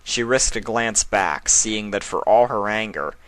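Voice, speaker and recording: A man's voice: on the low side, lively intonation, unhurried, fairly bright tone, no room sound, low-quality recording. A man reading a fantasy story aloud as its narrator, in a dramatic scripted style. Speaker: fan fiction narrator.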